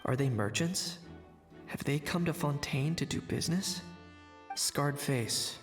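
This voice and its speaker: A man playing a composed, observant investigator in a video game, narrating in a measured, analytical way. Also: fairly high pitch, big-room sound, faint music behind, lightly acted. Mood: curious, suspicious.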